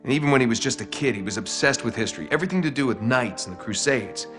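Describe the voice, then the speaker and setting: A man's voice: medium pitch, lively intonation, fast talker, close to an everyday voice. A man playing a confident, eager treasure hunter in a game cutscene, talking conversationally as he explains things. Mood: eager, explaining. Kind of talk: naturalistic video game cutscene acting. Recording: game music behind the voice.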